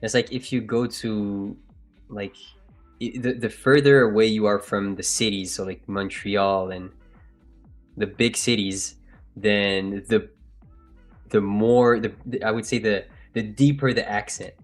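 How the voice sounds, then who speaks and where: A man from Algeria whose first language is French, co-hosting a live stream in relaxed, simple wording. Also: fairly low pitch, unhurried. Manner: relaxed, plain wording, restarts. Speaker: trading stream co-host. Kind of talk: casual live-stream chat. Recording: faint background music.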